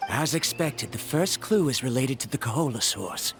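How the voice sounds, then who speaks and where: A man's voice: mid-range voice, expressive, moderate pace, a bit bright. An actor voicing an earnest young male scholar who talks in a serious, precise and businesslike way, in a video game. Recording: game audio with music behind.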